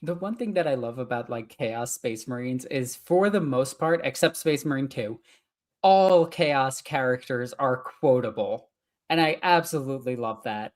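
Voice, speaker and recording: Low-voiced woman with an Icelandic accent. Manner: enthusiastic fan gushing, quick to laugh. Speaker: podcast co-host. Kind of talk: casual chat.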